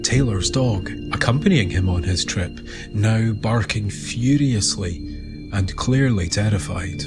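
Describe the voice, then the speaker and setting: A man narrating a scripted documentary story in a measured, deliberate manner. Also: fairly low pitch. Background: music.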